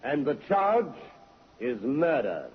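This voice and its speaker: An actor playing a calm, shrewd police inspector with a dry, measured, commanding manner in a vintage radio drama. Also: male voice, medium pitch, breathy edge, full character voice. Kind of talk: 1950s radio drama acting. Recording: tinny narrow vintage radio recording.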